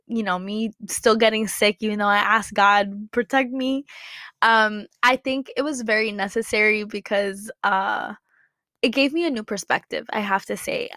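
A woman's voice: medium pitch, fairly bright tone, no room sound, clean studio sound. A young woman hosting a casual faith podcast, congested and with a slight cough, rambling with many fillers and restarts. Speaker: podcast host. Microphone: computer setup, looking at notes.

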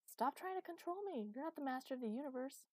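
A woman's voice: medium pitch, expressive, a little fast, dark tone, dry recording, pitched above the performer's own voice, natural voice. Young mortal heroine for fantasy audiobook, played by a woman: stubborn, mouthy, indignant, emphatic delivery. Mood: defiant. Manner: defiant, sarcastic, emphatic.

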